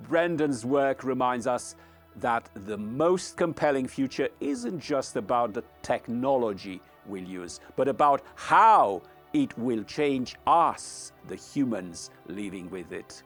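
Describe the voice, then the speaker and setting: Formal, earnest male podcast host. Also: mid-range voice. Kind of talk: scripted, formal podcast outro.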